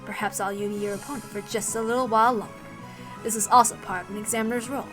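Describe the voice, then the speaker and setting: A cruel, sneering demon examiner from a story reading, acted by a woman. The voice is high and bright, mocking and condescending, coaxing with little taunting questions over faint music. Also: fairly even intonation, fast talker, lightly acted.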